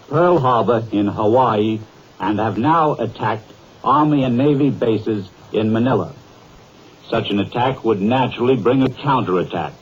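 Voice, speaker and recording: Male newsman, measured and formal, muffled vintage radio. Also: mid-range voice, a little hiss or hum. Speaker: news correspondent. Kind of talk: formal radio news reading. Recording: lo-fi 1940s radio broadcast.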